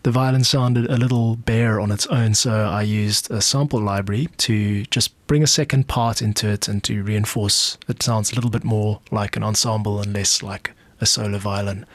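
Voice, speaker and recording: A South African man hosting a podcast, explaining in a measured way like a music producer walking through his work. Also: on the low side, fairly even intonation, no room sound.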